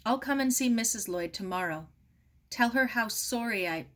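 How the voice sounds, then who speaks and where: Woman reading as a high, thin-voiced grieving young woman. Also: lively intonation, moderate pace, fairly bright tone. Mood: controlled grief. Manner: polite, restrained, fragile composure. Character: grieving young woman, brittle, icily calm and polite. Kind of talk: storybook read-aloud.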